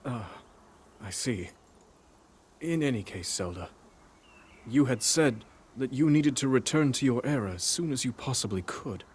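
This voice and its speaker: A man voicing a calm, dignified ancient king in a video game, speaking in a measured, formal way. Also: medium pitch, slight background noise, close to an everyday voice. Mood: thoughtful. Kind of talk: video game cutscene voice acting. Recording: faint music behind.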